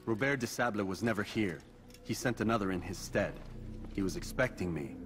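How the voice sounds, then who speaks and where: An actor playing a terse, resolute young assassin in a video game cutscene, serious and clipped. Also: male voice, lowish voice, brisk, fairly bright tone, faint music behind, close to an everyday voice. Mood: grave, urgent.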